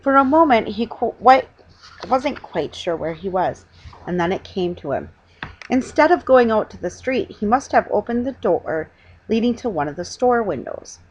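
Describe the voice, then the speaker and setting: A middle-aged woman reads a children's storybook aloud with a husky voice, stumbling now and then and correcting herself. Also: mid-range voice, lively intonation. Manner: halting reading with stumbles and self-corrections. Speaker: reader. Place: small living room at home, indoors. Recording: dull lo-fi webcam sound.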